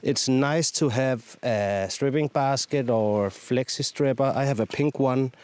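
A man in his thirties hosting a fishing vlog outdoors on a sea shore, talking in a relaxed, chatty, self-mocking way into a lapel mic. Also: mid-range voice, a little slow, clean tone, no echo. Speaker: fishing show host.

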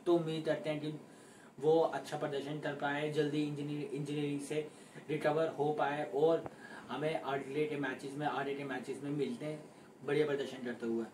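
A man's voice: fairly high pitch, moderate pace, slight background noise. A young man from India giving a casual post-match tennis breakdown as a sports vlogger, gesturing as he walks through each score. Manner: animated hand gestures, repeats score calls. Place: small indoor room at home. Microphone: camera at mid distance. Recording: roomy, slightly distant home mic.

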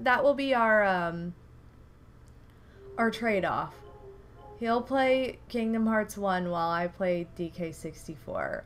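A young woman streaming a game from home, chatting casually with viewers, her nose stuffed from a recent flu. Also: fairly high pitch. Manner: animated, exclaims at the game. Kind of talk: casual livestream chat while playing. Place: home room, indoors.

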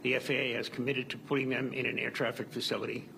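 A man's voice: mid-range voice, little pitch movement, fast. A man in his seventies, a professor, explaining something in an on-location news interview. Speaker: aviation professor. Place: college classroom lab, indoors. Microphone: handheld interview mic. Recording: clear background room noise.

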